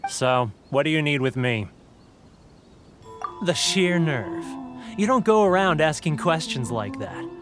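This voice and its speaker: Sneering high-pitched surly trader. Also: male voice, lively intonation, unhurried, long pauses, music in the background. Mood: suspicious, sarcastic, then indignant, condescending. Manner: sneering, dismissive, brusque. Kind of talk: video game voice acting.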